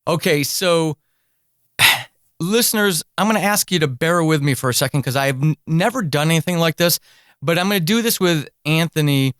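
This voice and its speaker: A man's voice: fairly high pitch, expressive, moderate pace, a bit bright, clear, resonant tone, no echo, studio quality. A man hosting an interview podcast in a casual, informal way, breaking into his own sentences with asides.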